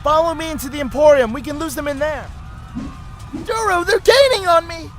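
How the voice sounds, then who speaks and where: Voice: high voice, fairly quick, soft background music, a faint crowd, natural voice. An actor playing a frightened young boy on the run, breathless and urgent. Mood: scared, urgent. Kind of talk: dramatised audiobook acting.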